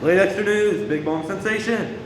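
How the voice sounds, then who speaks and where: A newspaper seller, acted by a man, chants a street cry in a radio play. The high voice rings with echo and long reverb over faint noise. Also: moderate pace, lightly acted. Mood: hawking. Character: newspaper seller calling out headlines.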